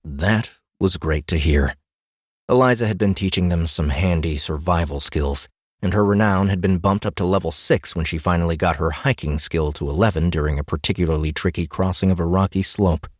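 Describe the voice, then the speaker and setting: A man's voice: low voice, normal speed, no echo. A man reading scripted fantasy fiction as an audiobook narrator, recorded close in a studio. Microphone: close studio mic, dry booth.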